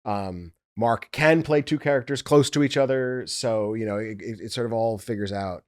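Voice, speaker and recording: A man's voice: mid-range voice, moderate pace, darkish tone. A man, an audiobook producer, talking casually and explaining his work step by step.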